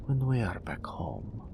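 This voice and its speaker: A man voicing a proud, mystical male naga oracle in an intimate roleplay audio drama, breathy and deliberate, referring to himself in the third person. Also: background noise, heavily acted. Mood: tender, teasing. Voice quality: breathy, whispery, gravelly low voice.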